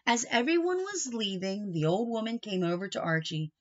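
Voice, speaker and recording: A middle-aged woman reading a children's picture book aloud expressively, pausing for questioning asides to young listeners. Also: medium pitch, lively intonation, dull audio, a little hiss or hum. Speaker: storybook reader. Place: small wood-panelled room indoors.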